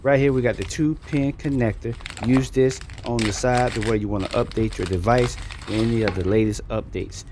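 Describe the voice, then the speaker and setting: A man's voice: mid-range voice, steady tone, moderate pace, few pauses, background noise. A man giving a casual, folksy product walkthrough as a reviewer in an unboxing video.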